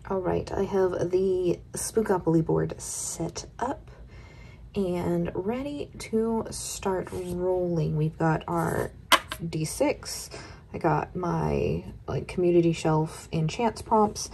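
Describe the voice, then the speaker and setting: Hoarse young woman book vlogger, low-ish voice, unhurried casual chat, faint hiss behind. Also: warm tone, low-quality recording. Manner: chatty, counts dice rolls aloud. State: hoarse, recovering from illness. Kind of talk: casual reading wrap-up vlog. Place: home room at night.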